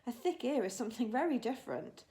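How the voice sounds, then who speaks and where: A woman voicing a big, gentle old male giant from a storybook who jumbles his words eagerly. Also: fairly high pitch, expressive, fast, voice pushed up, natural voice. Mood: earnest.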